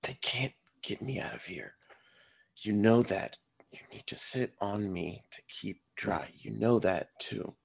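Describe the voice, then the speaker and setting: Injured trapped survivor, male, played by an actor at a table read: low gravelly voice, sardonic, stubbornly protective. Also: full character voice. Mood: insistent. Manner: wry, blunt, halting through pain. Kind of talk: acted table read of a film script.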